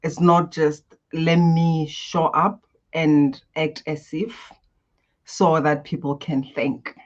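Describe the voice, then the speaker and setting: Expressive woman. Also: sits low, slow pace, lo-fi sound. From Botswana. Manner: expressive, guides listeners through breathing. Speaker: guest coach. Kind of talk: animated interview answers.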